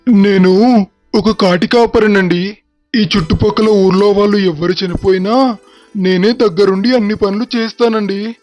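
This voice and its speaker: A man's voice: high voice, steady tone, normal speed, a little mellow, soft background music, close to an everyday voice. A man playing a humble, deferential older village father in a dramatized story. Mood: humble, polite. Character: humble village cremation-ground keeper, doting older father. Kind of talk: dramatized moral story acting.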